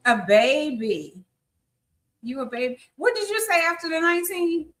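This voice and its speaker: A woman's voice: high voice, normal speed, fairly bright tone. A woman in her fifties hosting a live podcast interview, chatty and encouraging. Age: 50s. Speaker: podcast host.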